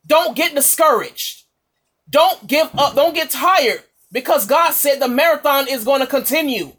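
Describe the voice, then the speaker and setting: High-voiced woman preaching with fervor. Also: expressive, a little slow, fairly bright tone. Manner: exhorting, emphatic, repeats phrases. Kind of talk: impassioned charismatic preaching.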